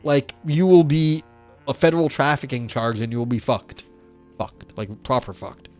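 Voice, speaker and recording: A man's voice: mid-range voice, moderate pace, faint music behind. A man reading philosophy papers aloud on a live stream and chatting with viewers in a rambling, filler-heavy way, his voice wearing thin. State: losing his voice.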